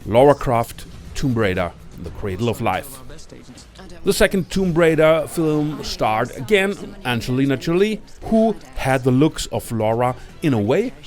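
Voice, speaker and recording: A man hosting a countdown video, reading a scripted film review as narrator. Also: medium pitch. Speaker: video list host and narrator.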